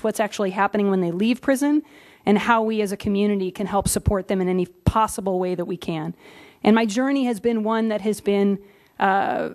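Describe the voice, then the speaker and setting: A woman giving an earnest public comment into a podium microphone at a community meeting, with frequent pauses and fillers. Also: medium pitch, darkish tone.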